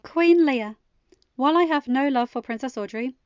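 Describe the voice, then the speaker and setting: A woman's voice: sits high, normal speed, darkish tone, clean tone, no echo, muffled recording, pitched above the performer's own voice, lightly acted. A woman voicing an outspoken young noblewoman in a storybook reading, composed, formal and pointed. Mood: pointed, assertive.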